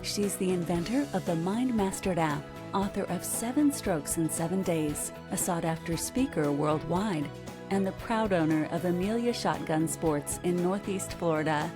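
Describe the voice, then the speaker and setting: A woman reading a scripted podcast introduction with a polished, measured delivery. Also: on the low side. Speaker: show announcer. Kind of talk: scripted promo voice-over. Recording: voice-over with music bed.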